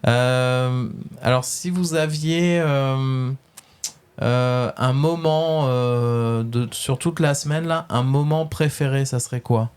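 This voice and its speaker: A man's voice: medium pitch, takes it slowly, darkish tone, smooth. A man with a French accent chats through a casual webradio interview, stopping often to hunt for words. His voice sits in an ordinary middle register. He keeps his questions friendly and easygoing. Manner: hesitant, many fillers, friendly questions.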